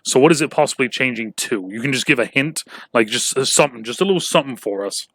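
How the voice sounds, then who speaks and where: A man's voice: medium pitch, expressive, quick pace, fairly bright tone. A man in his twenties hosting a podcast, chatting casually with lots of fillers, restarts and swearing. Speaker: podcast host. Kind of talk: casual chat.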